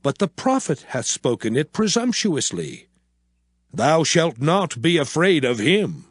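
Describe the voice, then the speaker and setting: A man giving a solemn, deliberate dramatized reading of scripture. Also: a little high, very animated, dry recording, studio quality. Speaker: scripture narrator. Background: background music.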